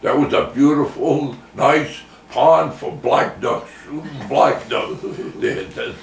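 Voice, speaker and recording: An older man reminiscing in a casual oral history interview, talking in halting, rambling phrases and repeating himself. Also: fairly high pitch, gravelly. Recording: echoey room, distant mic, faint noise.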